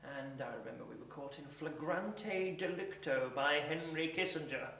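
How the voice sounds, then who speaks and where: A man performing a comic monologue as an eccentric, pompous character, with a deadpan, deliberate, mannered delivery. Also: a little high, vocal fry, a little hiss or hum. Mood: deadpan, confiding. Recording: echoey room, distant mic.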